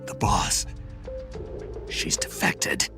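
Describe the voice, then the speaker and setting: An actor playing a gruff, weary wounded soldier in a video game, gravelly, breathy, weak and terse. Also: male voice, drawn-out words, over music. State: weak, strained from injury. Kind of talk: dramatic video game acting.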